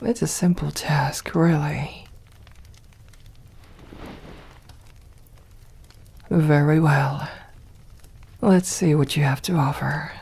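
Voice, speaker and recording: A woman voicing a seductive, possessive vampire mistress in an ASMR roleplay, speaking formally and coaxingly in a breathy, often whispered voice. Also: low-pitched, steady tone, a little mellow, exaggerated voice. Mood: pleased, commanding. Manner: slow, formal, coaxing endearments.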